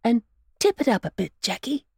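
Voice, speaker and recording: A woman voicing a kindly old gentleman rabbit in a storybook, speaking in a jolly, coaxing way. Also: on the high side, sing-song, normal speed, husky, dry recording, studio quality, pitched above the performer's own voice, exaggerated voice.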